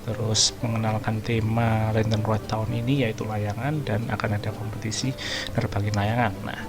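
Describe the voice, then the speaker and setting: A man's voice: lowish voice, flat delivery, brisk. A man with an Indonesian accent giving casual, informal gameplay commentary as a video host, mixing in English phrases. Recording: loud game music behind. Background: video game music.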